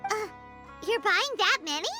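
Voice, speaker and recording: A woman voicing a tiny cheerful, chatty fairy girl with a squeaky childlike voice in a video game. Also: lively intonation, normal speed. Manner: refers to self in third person. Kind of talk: animated video game acting. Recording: game music behind the voice.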